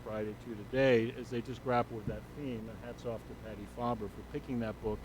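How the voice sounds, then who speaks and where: A man's voice: mid-range voice, fairly even intonation, brisk, noisy background. Man with a big voice giving a rambling report. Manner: loose, rambling delivery with fillers and self-corrections. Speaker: school superintendent. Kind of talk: report at a board meeting.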